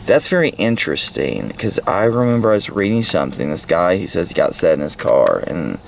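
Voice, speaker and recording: Creaky, animated American man, rambling and crude. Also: deep voice, fairly quick, poor audio. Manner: crude, heavy swearing, irreverent, scattered. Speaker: vlogger giving a book report. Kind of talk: rambling casual book review with bits read aloud. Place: inside a parked car. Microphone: handheld phone or camera at arm's length. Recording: handheld recording with faint background noise.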